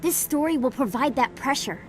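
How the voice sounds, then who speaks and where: A woman's voice: animated, moderate pace. A woman playing a persuasive, assured investigative reporter in a science fiction video game, speaking articulately. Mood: insistent, reassuring. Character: persuasive, driven female investigative reporter of space opera. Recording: faint rumbling background noise.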